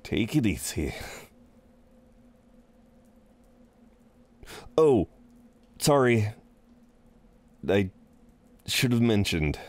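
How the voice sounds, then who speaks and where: A man playing an amused, seductive incubus in an intimate roleplay audio drama, teasing and soothing in an unhurried, halting way. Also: on the low side, big pitch swings, a little mellow, no room sound. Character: amused, reassuring, seductive male incubus innkeeper.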